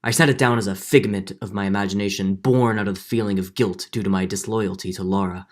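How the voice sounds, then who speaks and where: A man's voice: lowish voice, steady tone, fast talker, close to an everyday voice. A man playing a middle-aged judge, earnest and guilt-ridden, in a dramatic 1940s radio drama style with hesitant turns. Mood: brooding, confessional. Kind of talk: 1940s radio drama acting with first-person narration.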